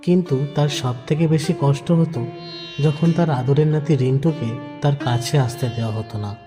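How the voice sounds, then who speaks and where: A man's voice: fairly high pitch, moderate pace. A man narrating a scripted audio drama in a dramatic storytelling style. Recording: long reverb under clear background music.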